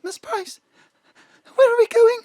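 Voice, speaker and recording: A very high, breathy, trembling voice of an anxious fading film star, as the narrator puts her on in a radio drama. The woman's voice quavers. The recording is dry and close. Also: a little slow, dark tone, no echo. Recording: dry home studio.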